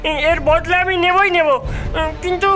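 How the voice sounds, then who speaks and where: A woman's voice: extremely high pitch, fairly even intonation, moderate pace, bright, forceful tone. A woman voicing a plucky, earnest young boy in a children's cartoon, determined and loyal to his friends. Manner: earnest, hesitant hums before speaking.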